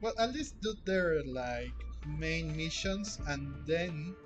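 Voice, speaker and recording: A man giving casual gameplay commentary in a halting way, often repeating words and trailing off mid-sentence. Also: on the high side, animated. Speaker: video game streamer. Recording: game music behind the voice. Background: video game music.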